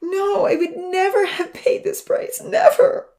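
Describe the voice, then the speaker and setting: A woman voicing an anguished young male burn survivor, humble and guilt-ridden, halting with a breathy, choked voice. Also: extremely high pitch, animated, warm tone, pitched above the performer's own voice, exaggerated voice. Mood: grieving, sobbing. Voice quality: breathy, choked voice breaking into sobs. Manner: halting, pleading, confessional.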